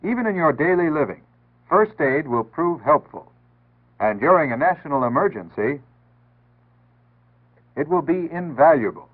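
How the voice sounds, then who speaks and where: A man's voice: fairly high pitch, sing-song, normal speed, pauses often, slightly rough. An American man reads a scripted 1960s public service announcement in an earnest, instructive, formal way. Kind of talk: 1960s scripted civil defense announcement narration. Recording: tinny band-limited 1960s radio-style archive audio.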